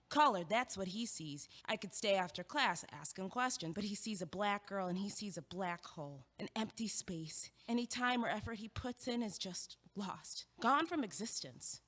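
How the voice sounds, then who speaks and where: A woman's voice: medium pitch, fairly bright tone. A woman playing a blunt, driven young college student in an audio play, with a sardonic, emphatic delivery. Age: young adult. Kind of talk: stage-style audio play dialogue. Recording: echoey hall with long reverb.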